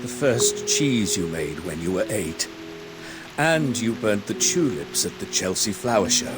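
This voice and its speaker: Slow, courteous, devoted butler. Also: male voice, lowish voice, very animated, poor audio. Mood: gentle, reassuring. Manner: formal, deferential, measured. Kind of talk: scripted game character acting. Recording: dramatic music behind the voice.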